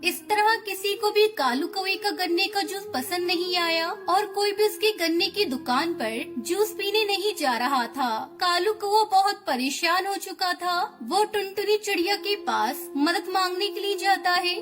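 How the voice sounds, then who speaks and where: Very high-pitched woman narrating children's story. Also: unhurried, hardly any pauses. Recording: music bed under narration. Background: background music.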